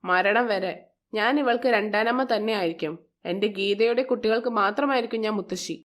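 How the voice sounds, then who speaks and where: A woman voicing a bitter, resentful middle-aged stepmother in a moral story, with a sharp, complaining, sarcastic manner. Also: medium pitch, normal speed, natural voice. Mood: angry, scolding.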